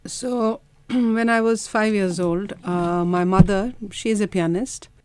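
A woman with an Indian accent telling personal stories in an interview, measured and articulate, pausing to find words. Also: mid-range voice, steady tone, a little mellow, clean tone, no room sound. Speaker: dance teacher and interview guest. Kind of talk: reflective personal storytelling in an interview.

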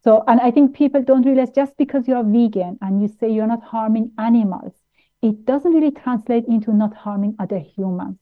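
Halting woman guest on a tinny line. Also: on the high side. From Iran, raised in Austria. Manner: halting, many fillers and restarts. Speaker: guest, plant-based nutritionist. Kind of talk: interview conversation.

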